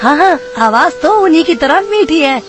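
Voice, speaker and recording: Woman as a lively, high-voiced cautious baby bird. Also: moderate pace, over music. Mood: agreeing. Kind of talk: Hindi children's cartoon story acting.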